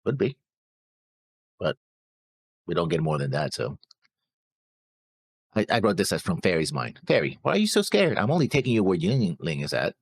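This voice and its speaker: A man co-hosting a reaction show, talking casually through notes with halting, noncommittal phrasing. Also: sits low, big pitch swings, no room sound. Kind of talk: casual discussion, reading out notes.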